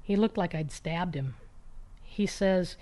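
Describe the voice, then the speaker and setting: A woman's voice: fairly low pitch, takes it slowly, mellow. A woman reading a first-person short story in a conversational, wry and emphatic way, with clipped phrases, as if talking to someone.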